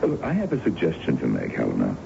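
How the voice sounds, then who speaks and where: A man playing a suave, condescending colonel in a radio drama, with a smooth, theatrical manner. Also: a bass voice, huge pitch swings, fast, noisy background, full character voice. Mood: charming. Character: suave, dismissive colonel courting a lady. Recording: dull old radio broadcast with music.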